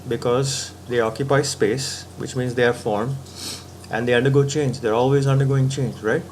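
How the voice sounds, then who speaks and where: A man's voice: medium pitch, fairly even intonation, moderate pace, darkish tone, a little hiss or hum. A man giving a patient philosophical talk, reasoning step by step and often checking in with the listener. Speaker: spiritual teacher.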